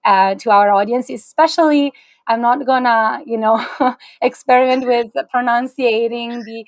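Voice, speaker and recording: A woman's voice: fairly high pitch, fairly even intonation, measured pace, no echo. A woman in her thirties hosting an online series on a video call, upbeat and chatty with small restarts. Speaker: host. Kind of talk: livestream webinar hosting. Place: small indoor room, plain wall.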